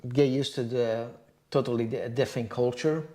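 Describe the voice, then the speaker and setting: Retired older man, terse and soft-spoken, mid-pitched. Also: steady tone. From Sicily. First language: Sicilian. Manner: brief, quiet answers, halting. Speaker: co-host. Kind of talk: casual Q&A chat.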